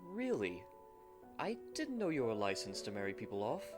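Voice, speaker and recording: A man voicing a tender, courteous young gentleman groom who speaks formally in an anime fan ASMR roleplay. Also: on the low side, wildly swooping pitch, normal speed, music in the background. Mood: polite, earnest. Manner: formal, romantic, courteous speech.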